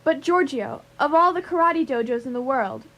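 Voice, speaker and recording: A confident woman who runs a dojo, played by an actress in a radio comedy. Her voice is high-pitched. She is direct, patient and probing, with a flirtatious streak. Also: expressive, normal speed, fairly bright tone. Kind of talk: radio theatre comedy acting.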